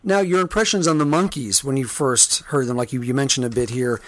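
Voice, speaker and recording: A man's voice: mid-range voice, brisk, no room sound. A man hosting a podcast interview, chatting casually and agreeing readily with his guest.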